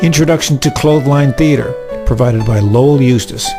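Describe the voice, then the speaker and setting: A man's voice: mid-range voice, moderate pace. A man reading scripted credits and a cast list as the announcer of a radio drama. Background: guitar music.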